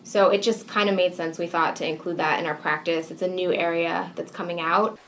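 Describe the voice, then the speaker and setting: A woman's voice: lowish voice, level tone, fairly quick, fairly bright tone, a little hiss or hum. A woman whose first language is Spanish, talking in an interview as a lawyer in an upbeat, explanatory way. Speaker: lawyer, firm co-founder. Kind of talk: casual interview.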